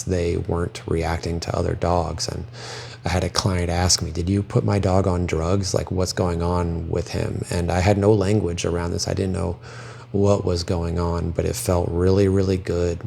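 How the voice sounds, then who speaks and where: A man's voice: sits low, level tone, moderate pace, gravelly, darkish tone, a little hiss or hum. A young man raised in Belgium with French as his first language, earnestly telling his own life story. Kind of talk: personal testimony.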